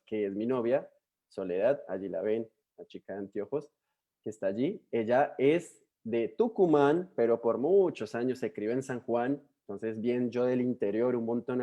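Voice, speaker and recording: A man's voice: mid-range voice, dark tone. A young man with a Colombian accent, a missionary introducing himself to a church over a video call in a friendly, chatty way with many fillers and restarts. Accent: Colombian Spanish. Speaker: missionary guest speaker. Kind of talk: warm personal introduction to a church.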